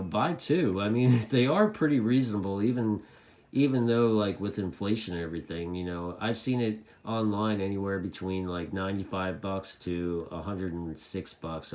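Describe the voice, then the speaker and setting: A man giving a casual hobbyist product review to camera, rambling along and often repeating his phrases. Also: on the low side.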